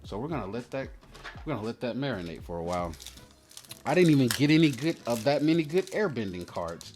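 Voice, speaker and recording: A man's voice: mid-range voice, very animated, a little fast, darkish tone. Slangy joking man rambling over music. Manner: slangy, joking, mock-aggrieved asides. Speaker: host of a trading card pack-opening show. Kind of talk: casual rambling commentary while opening card packs.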